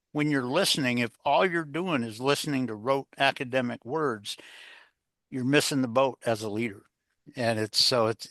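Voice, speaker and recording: A man's voice: mid-range voice, a bit bright, no room sound, clean studio sound. A man speaking as an interview guest on leadership, conversational and rambling, often posing rhetorical questions to the listener. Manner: rambling, filler-heavy, poses rhetorical questions. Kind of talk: interview answers, conversational coaching.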